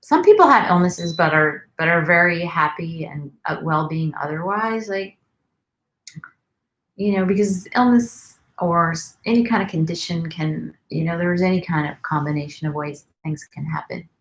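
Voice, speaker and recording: Woman, wellness livestreamer; soft and calming, gravelly fry, meandering delivery full of ums. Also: lowish voice, moderate pace, poor audio. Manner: soft, rambling, with many ums. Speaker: wellness vlogger. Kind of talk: casual livestream chat.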